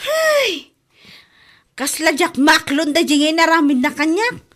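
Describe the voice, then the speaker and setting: Ilocano radio comedy: excitable, complaining female office worker, high voice, exclamatory delivery. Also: slow, exaggerated voice. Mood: indignant. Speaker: actress in a radio comedy drama.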